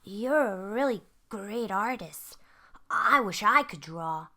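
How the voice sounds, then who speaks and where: A woman's voice: mid-range voice, expressive, slow, bright, forceful tone, voice pushed up. A woman voicing a shy, earnest little boy full of self-doubt in a storybook reading. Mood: admiring.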